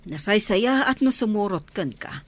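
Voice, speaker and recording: A woman's voice: mid-range voice, expressive, normal speed, lightly acted. A woman acting a kindly, ailing middle-aged village mother in a radio drama. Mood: weary, indulgent.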